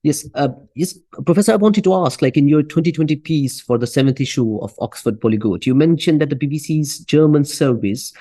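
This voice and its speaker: A man hosting a podcast asks polite, halting questions full of fillers. His voice is mellow and dark, and the recording is dry and close. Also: a little high, no room sound. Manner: hesitant, many fillers, polite. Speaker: podcast host. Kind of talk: interview questions.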